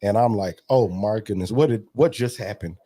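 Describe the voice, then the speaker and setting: A man in his forties telling stories in a relaxed interview, acting out what people said. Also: fairly low pitch, normal speed, no room sound, studio quality. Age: 40s. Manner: animated storyteller, acts out quotes. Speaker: gospel artist guest. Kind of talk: casual interview storytelling.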